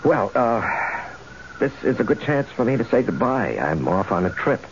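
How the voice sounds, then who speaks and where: A halting, guilt-ridden husband, swooping pitch. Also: male voice, very animated. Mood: subdued, halting. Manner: halting, stammering. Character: guilt-ridden husband, nervous salesman hiding a killing. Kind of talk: 1970s radio drama acting. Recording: dull old radio recording, faint hiss.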